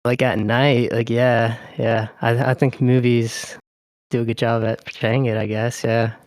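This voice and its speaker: A man's voice: medium pitch, unhurried, dry recording. A young man from Japan, a podcast guest, chatting casually and rambling with lots of fillers. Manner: relaxed, rambling, heavy on fillers. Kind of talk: casual chat.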